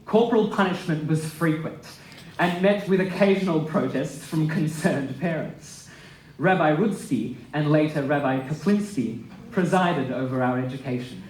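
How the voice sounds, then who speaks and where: A man's voice: a little high, moderate pace, darkish tone. A man giving a dramatic, wryly humorous reading of a memoir at a live event. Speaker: stage reader and singer. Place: live literary event in a hall. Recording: echoey live hall, music in parts.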